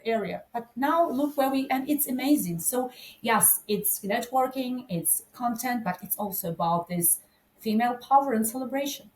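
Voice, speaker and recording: A woman's voice: on the high side, animated, moderate pace, darkish tone, rough recording. A woman in her forties from Ukraine, speaking English with a Ukrainian accent as a guest, enthusiastic and full of "like"s. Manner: enthusiastic, filler-heavy speech with many "like"s. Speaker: guest, event organizer. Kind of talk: conversational interview.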